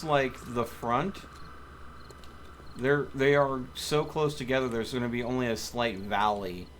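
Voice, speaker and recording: A man's voice: medium pitch, fairly bright tone. A man hosting a multiplayer game stream with casual live commentary. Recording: faint background noise.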